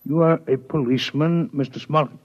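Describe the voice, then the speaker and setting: An actor playing a formal, detached six-legged alien scientist in a 1950s radio drama. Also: male voice, on the high side, a little fast, lightly acted. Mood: probing. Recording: muffled old radio broadcast.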